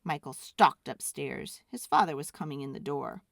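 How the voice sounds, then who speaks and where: A woman reading a children's story aloud with expressive, deliberate, dramatic narration. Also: deep voice, gravelly, no room sound, studio quality.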